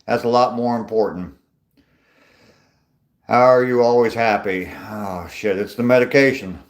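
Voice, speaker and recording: A man's voice: mid-range voice, a little slow. A man in his sixties on a live stream, reading out viewer comments and answering them in a chatty, easygoing way with dry humor, talking into his phone while out on a walk. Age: 60s. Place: outdoors on a walk.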